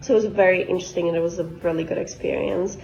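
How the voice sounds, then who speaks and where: A woman's voice: on the low side, steady tone, quick pace, gravelly, a little mellow. A woman in her twenties from Bosnia, talking informally and explaining her work as a guest speaker. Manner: conversational, explanatory. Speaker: guest speaker, quality engineer. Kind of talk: informal career talk. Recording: dull, lo-fi sound.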